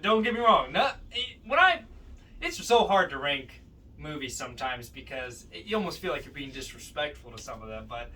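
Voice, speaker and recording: A man's voice: fairly high pitch, big pitch swings, normal speed, bright, forceful tone, a little hiss or hum. A man chatting casually as a podcast co-host, giving his opinions in a film ranking discussion. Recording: slightly distant microphone.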